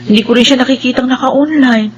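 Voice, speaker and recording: A woman's voice: high-pitched, fast talker, slight background noise, close to an everyday voice. A woman playing a worried, loyal employee in a Filipino radio drama, earnest and talking her actions aloud.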